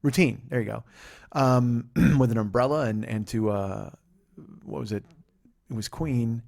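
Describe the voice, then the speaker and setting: A man's voice: mid-range voice, unhurried, creaky, a little mellow. A man in his forties hosts a comedy podcast in a meandering, offhand monologue. He loses his train of thought, fills the gaps with "uh," and curses casually. He makes fun of himself as he goes. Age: 40s. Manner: loose and profane, trails off into fillers and restarts; self-mocking.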